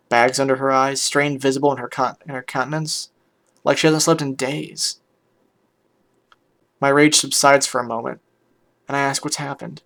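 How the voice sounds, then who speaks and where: A man voicing a heartbroken, weary pony musician in a hushed, halting, emotional first-person story reading. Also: medium pitch, natural voice. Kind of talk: dramatic first-person fan fiction reading.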